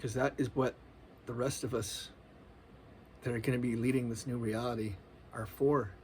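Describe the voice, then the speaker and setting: A man's voice: mid-range voice, fairly even intonation, moderate pace, a little mellow, slight background noise. A man in his forties giving a loose, earnest spiritual monologue, casual and full of slang. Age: 40s. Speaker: spiritual vlogger.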